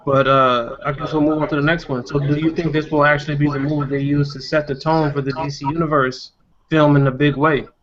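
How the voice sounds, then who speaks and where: A man's voice: a little high, level tone, brisk, crowd noise. A man hosting a casual panel discussion, giving his opinions in a conversational way. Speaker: discussion host. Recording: dull lo-fi podcast audio.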